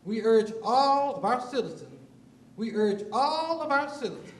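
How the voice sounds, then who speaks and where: High-voiced man reading a formal proclamation. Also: expressive, a little slow. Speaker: mayor. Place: city council chamber. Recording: echoey chamber, mic from far off.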